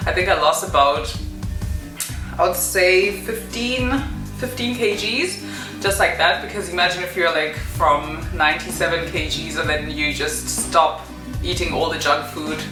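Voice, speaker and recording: A woman's voice: lowish voice, measured pace, over music. Chatty woman in an echoey room. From Zimbabwe. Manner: chatty, many fillers and "kind of". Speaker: vlogger. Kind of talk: personal story vlog.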